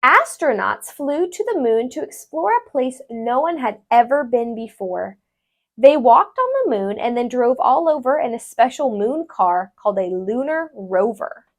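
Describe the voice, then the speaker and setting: A woman tutor reading a rhyming children's book aloud, expressively and deliberately, with sing-song phrasing. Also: fairly high pitch, sing-song.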